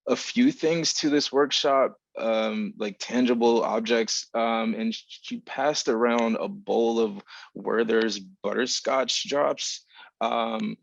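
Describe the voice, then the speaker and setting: A man with a lowish voice and noticeable fry speaks thoughtfully and haltingly, like a writer reflecting on a panel. His delivery is fairly even, and the sound is dry and somewhat dull, as on lo-fi call audio. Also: dry recording. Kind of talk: reflective, informal panel answer.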